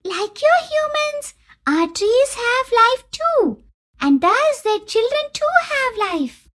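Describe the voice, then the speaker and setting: A tiny talking eggplant from an animated fable. The voice is extremely high, squeaky and childlike, with lively swings as it pleads and offers help. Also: female voice, slow, exaggerated voice. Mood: helpful, matter-of-fact. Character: small talking magic eggplant, pleading and helpful. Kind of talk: animated moral story dialogue.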